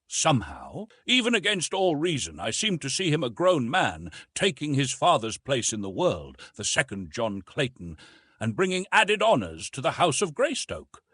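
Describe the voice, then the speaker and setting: A man's voice: mid-range voice, huge pitch swings, moderate pace, fairly bright tone, husky, no echo, clean studio sound, voice pushed up, heavily acted. A man voicing a tender, sorrowful English nobleman's diary in an audiobook, formal and wistful. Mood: tender hope.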